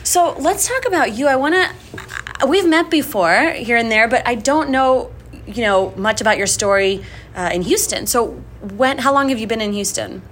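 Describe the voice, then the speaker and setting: A woman's voice: on the high side, animated, moderate pace, a bit bright. Woman, food show host, from India, friendly interviewer, light background noise. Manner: friendly, laughs easily.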